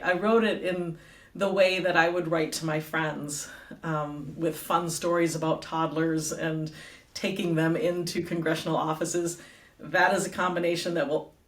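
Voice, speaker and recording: A middle-aged woman talking as a guest and author in a casual interview, chatty and quick to laugh, with lots of fillers. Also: lowish voice, fairly even intonation. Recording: roomy echo, distant from the mic.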